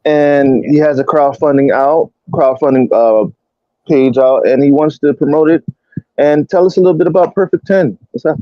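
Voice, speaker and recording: A man hosting a talk show over a video call, greeting with hyped street-style shout-outs and introducing his guest. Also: on the high side, normal speed, no echo, dull audio. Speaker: podcast host. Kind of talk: casual interview chat.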